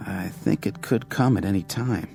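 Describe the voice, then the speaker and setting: An actor playing a courteous, dryly frank family doctor in a radio drama, speaking in a measured, polite way. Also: male voice, lowish voice, gravelly, darkish tone. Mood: grave. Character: courteous, dry, candid longtime male family doctor. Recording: radio drama with background music.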